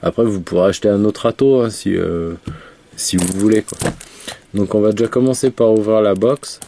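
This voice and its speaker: A man's voice: lowish voice, moderate pace, vocal fry, mellow, a little hiss or hum. A man with a head cold, chatting casually through a product review with lots of fillers and restarts. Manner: rambling, many fillers and restarts. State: has a cold, congested. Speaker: vape reviewer.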